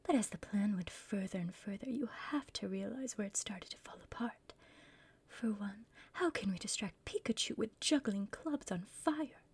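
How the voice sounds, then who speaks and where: A woman's voice: mid-range voice, moderate pace, a little mellow. A woman playing a bossy, scheming female villain in a whispered ASMR roleplay, impatient and conspiratorial with her partner. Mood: exasperated, scolding. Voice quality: breathy whisper.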